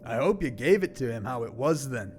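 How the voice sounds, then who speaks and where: A man's voice: medium pitch, expressive, brisk, a little mellow, a little hiss or hum, close to an everyday voice. A man playing a cocky, hot-tempered teenage furry animal boy in an audio drama, brash and sarcastic.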